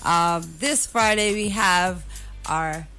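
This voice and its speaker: A woman's voice: fairly low pitch, slow pace, pushed and bright, smooth, faint music behind. A woman from Bermuda, a dance artist, talking earnestly about her show in a radio studio interview, with frequent filler words. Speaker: guest dancer and choreographer.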